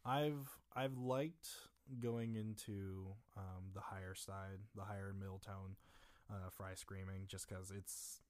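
Young man, a little low-voiced, rambling casually. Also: slow pace. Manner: relaxed, rambling with restarts and fillers. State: strained voice. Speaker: guest drummer. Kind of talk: casual chat.

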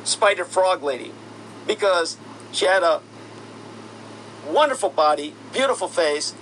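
A man with a notably high voice, talking in a long, aggrieved monologue. He sounds accusatory and indignant and keeps pushing up into sharper, louder bursts. There is a faint hum behind him. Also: poor audio. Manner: indignant, accusatory, rising to shouty outbursts. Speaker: commentator. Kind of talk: monologue rant.

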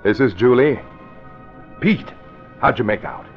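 A man's voice: medium pitch, expressive, a little slow, natural voice. Curt gang boss played by a man. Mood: brisk, businesslike. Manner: terse, bossy. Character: curt, commanding gang boss giving orders. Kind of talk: old-time radio drama acting. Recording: dull old radio recording, faint music.

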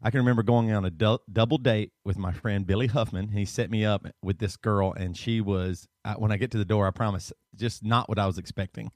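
A man's voice: on the low side, fairly even intonation, a little fast, few pauses, a little mellow, no room sound, studio quality. Rambling Southern man in his thirties. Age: 30s. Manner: rambling storyteller, laughs often. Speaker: podcast co-host. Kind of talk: casual chat.

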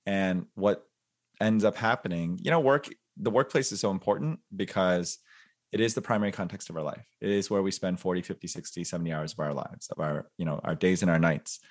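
Reflective man in his forties, low voice. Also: fairly quick. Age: 40s. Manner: reflective, thinks aloud with many fillers and restarts. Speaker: podcast guest. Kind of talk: casual interview chat.

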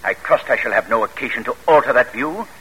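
An actor playing a proud, easily provoked gentleman general in formal, theatrical 1940s radio drama style. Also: mid-range voice, expressive, fast talker, full character voice, low-quality recording. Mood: indignant, stiff. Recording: vintage radio broadcast, rough and worn.

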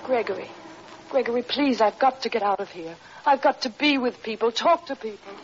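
An actress playing a frightened, self-doubting wife in 1940s radio drama, anxious, halting and pleading. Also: female voice, on the high side, natural voice. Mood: frightened, distressed. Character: frightened, doubting young wife being driven to despair. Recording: dull old radio broadcast recording.

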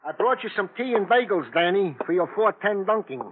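Comic, philosophizing sidekick, high animated voice, quaint phrasing, tinny old radio. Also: male voice, a little fast, husky. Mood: wistful, chatty. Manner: quaint, flowery colloquial phrasing. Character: chatty, comically philosophical, eager-to-please male police sidekick. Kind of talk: old-time radio drama acting, comic relief. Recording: tinny vintage radio recording.

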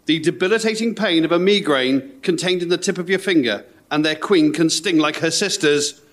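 A man's voice: fairly high pitch, normal speed. A male podcast panellist reading aloud in a dramatic way.

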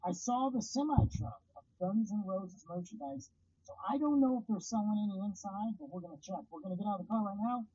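A man's voice: sits high, brisk, mellow, husky, no echo, muffled recording. A man hosting his own podcast, talking casually and rambling in a self-deprecating way from his parked car. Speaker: podcast host. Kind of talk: casual solo podcast chat.

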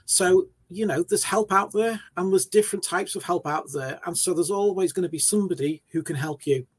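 A man's voice: high-pitched, fairly quick, slightly rough. A man on a panel giving encouraging closing advice. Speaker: panel guest.

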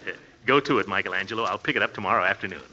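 An actor playing a vain, middle-aged suitor, pompous, coy and blustering, in 1940s radio comedy style. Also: male voice, lowish voice, racing, lightly acted. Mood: self-important, coy. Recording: dull, noisy old radio recording.

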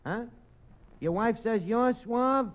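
Brash, high-pitched New York tavern manager. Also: male voice, slow. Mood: breezy, chatty. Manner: pompous malapropisms, streetwise slangy talk. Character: brash, dim, wisecracking male tavern manager mangling big words. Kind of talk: 1940s radio comedy acting. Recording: muffled old radio recording, clear noise.

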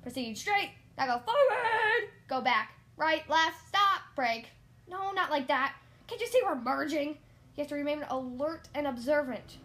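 A woman's voice: on the high side, moderate pace, lightly acted. A woman voicing a bossy, strict male rodent driving instructor in a storybook, barking commands and scolding. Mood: shouting, urgent.

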